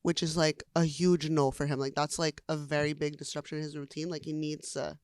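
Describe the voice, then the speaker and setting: A woman's voice: deep voice, little pitch movement, a little fast, clean tone, no room sound, studio quality. A young adult woman, a singer, talking casually in an interview, chatty with lots of "like" fillers and easy laughter.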